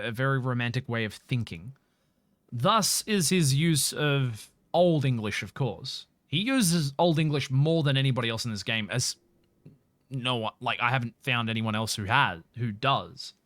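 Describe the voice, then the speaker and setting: An Australian man giving casual, expressive game commentary and chatting with viewers on a livestream. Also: mid-range voice, moderate pace, dry recording. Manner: expressive, sweary.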